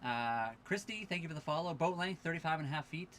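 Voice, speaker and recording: A man's voice: fairly high pitch, expressive, fast talker, fairly bright tone, a little hiss or hum. A tired young man casually chatting on a livestream from his sailboat at a marina, reading viewer questions aloud and thanking followers. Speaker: livestream host. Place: sailboat cabin at a marina dock.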